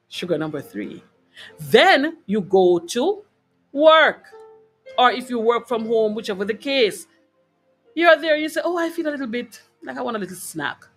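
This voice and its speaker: Jamaican woman giving an informal health talk. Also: fairly high pitch. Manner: conversational and emphatic, checks in with listeners using short tag questions. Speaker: host of a wellness broadcast. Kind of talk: informal motivational health talk to a live online audience.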